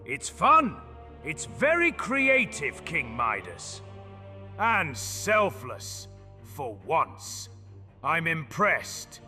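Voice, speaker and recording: A man's voice: a little high, wildly swooping pitch, drawn-out words, heavily acted. An actor playing a smug half-demon businessman, theatrical and sneering, savoring each word. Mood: amused mockery. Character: smug demon-blooded male mogul, mocking and playful. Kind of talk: dark fantasy audio drama. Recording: reverberant with soft music behind.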